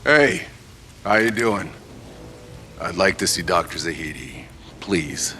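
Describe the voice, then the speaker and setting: An actor playing a hard-bitten, sardonic mercenary in a video game cutscene, blunt and terse with a gravelly voice. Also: male voice, sits low, slow, bright, forceful tone, soft background music, exaggerated voice. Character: hard-bitten, sardonic male mercenary who kills without apology.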